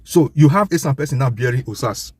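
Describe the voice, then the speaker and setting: Man, Nigerian accent, medium pitch, impassioned commentary, assertive, repetitive phrasing, dry recording. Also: animated, fast talker, darkish tone, breathy edge, dry recording. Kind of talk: impassioned informal monologue to an audience.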